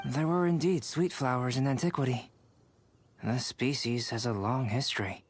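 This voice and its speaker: A man performs a young male alchemist for a video game. He sounds scholarly and composed, with polite, measured phrasing and a gently amused air. Soft music sits behind him. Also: mid-range voice, no room sound, close to an everyday voice.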